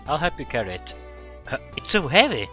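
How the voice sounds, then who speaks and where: A man's voice: fairly high pitch, sing-song, a little fast, pushed and bright. A man doing let's play commentary, reading a visual novel script aloud, acting out the dialogue and stumbling over words. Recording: game music behind the voice. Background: visual novel background music.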